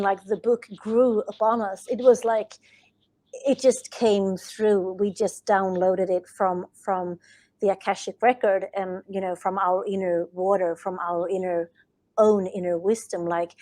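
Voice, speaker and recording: A woman's voice: mid-range voice, a little mellow. A woman from Sweden with a Swedish accent, not speaking her native language, talking earnestly as a podcast guest and often restarting her sentences. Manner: earnest, searching for words, many restarts. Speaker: guest author. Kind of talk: podcast interview.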